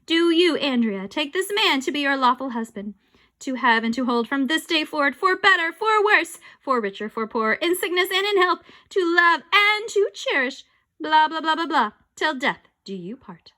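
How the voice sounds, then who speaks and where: A woman's voice: high voice, sing-song, moderate pace, pushed and bright, pitched above the performer's own voice, full character voice. A woman voicing a pompous male mayor who drones through a wedding ceremony in a storybook reading. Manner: pompous, droning officiant.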